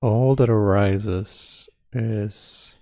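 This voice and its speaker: A man leading a guided meditation as its teacher, speaking calmly in spare, measured phrases with long pauses. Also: fairly low pitch, dry recording, clean studio sound. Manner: calm, measured.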